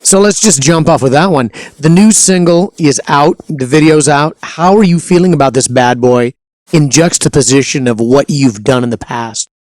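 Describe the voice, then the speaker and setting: A man's voice: on the high side, moderate pace, darkish tone, no echo, poor audio. A man hosting a casual interview show, upbeat and hearty, hyping up his guest. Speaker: show host.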